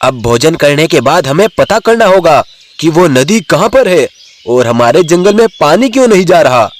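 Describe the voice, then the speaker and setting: A man's voice: on the high side, big pitch swings, normal speed. A man voicing a brave young male lion in an animal story, speaking in a declamatory, commanding way. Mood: curious. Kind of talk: Hindi animal storybook voice acting.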